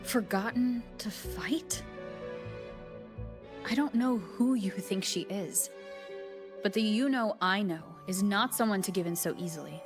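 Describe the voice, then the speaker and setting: An actress playing a loyal, resolute hero in a video game, speaking earnestly and firmly. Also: female voice, medium pitch, a little slow, pauses often, natural voice. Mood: defiant, loyal. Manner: earnest, firm. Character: loyal, resolute hero devoted to her friend. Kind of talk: dramatic game dialogue. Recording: music behind the voice.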